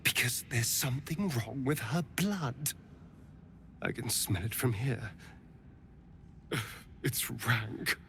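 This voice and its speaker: A man playing an arch, fastidious vampire spawn in a video game, with a flamboyant, theatrically affronted manner. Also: medium pitch, lively intonation, creaky, bright, forceful tone, a little hiss or hum, heavily acted. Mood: affronted, disgusted. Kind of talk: dramatic video game acting.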